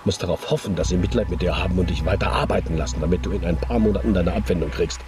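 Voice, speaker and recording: An actor playing a blunt, exasperated friend in a radio drama, outspoken and insistent. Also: male voice, low voice, racing, background noise, lightly acted. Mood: urgent warning. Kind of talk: naturalistic German radio drama acting.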